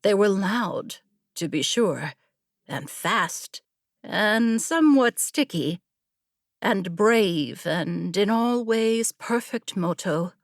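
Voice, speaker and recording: A woman narrating a scripted story as an audiobook, in a measured, literary storytelling manner. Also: medium pitch, lively intonation, dry recording, clean studio sound.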